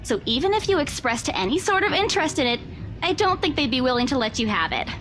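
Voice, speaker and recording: A woman's voice: high voice, very animated, quick pace, over music. A woman playing an exasperated, sarcastic young real estate agent in a visual novel, bantering emphatically. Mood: defensive, smug.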